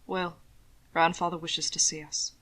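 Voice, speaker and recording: A woman voicing a composed, protective aristocratic husband, speaking in a low, measured, formal way. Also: flat delivery, fairly bright tone. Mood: calm, firm.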